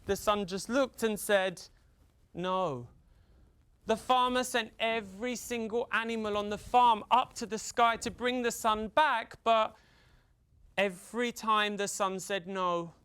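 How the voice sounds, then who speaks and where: A man from the UK telling a folktale theatrically for children, with dramatic pauses, repetition and drawn-out emphasis. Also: high voice, sharp tone, smooth. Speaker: storyteller.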